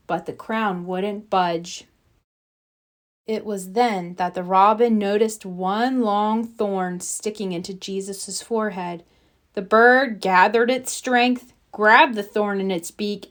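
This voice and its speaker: Slow-paced woman reading a storybook. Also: mid-range voice. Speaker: storybook narrator. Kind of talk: scripted children's story read-aloud.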